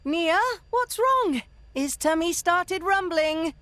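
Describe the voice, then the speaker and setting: A woman's voice: very high-pitched, animated, slow pace, a bit bright, no echo, exaggerated voice. A woman acting a small, naive, food-loving furry creature who talks in childlike broken sentences in a video game. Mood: flustered. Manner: simple, childlike broken speech.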